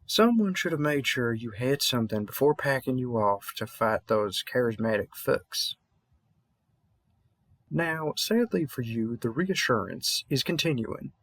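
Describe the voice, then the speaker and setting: Man voicing veteran vampire hunter mentor, Southern US accent, slow, mumbling, kindly and reassuring, dry close recording, roleplay audio. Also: mid-range voice, lively intonation, a bit bright, dry recording. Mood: somber, earnest. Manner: rambling, reassuring, slightly mumbling. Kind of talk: roleplay audio drama monologue.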